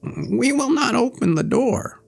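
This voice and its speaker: A man voicing seven timid little goat kids in a read-aloud storybook, with a high childlike pleading voice. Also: very animated, a little slow, gravelly, faint music behind, exaggerated voice.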